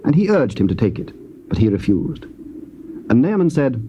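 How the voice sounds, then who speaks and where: An English man reading Bible narration in a steady, formal way on a lo-fi tape. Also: mid-range voice, moderate pace, a little hiss or hum. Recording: dull lo-fi tape.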